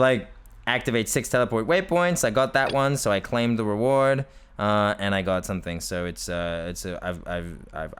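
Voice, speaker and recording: A man's voice: mid-range voice, a bit bright, clear, resonant tone. A man from Britain giving casual, rambling let's-play commentary as he plays, chatty, self-mocking and often laughing. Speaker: gaming video host.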